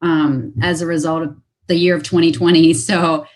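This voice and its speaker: A woman's voice: sits low, little pitch movement, moderate pace. A woman talking business as a guest on a video call, upbeat and full of fillers, repeating her phrases for emphasis.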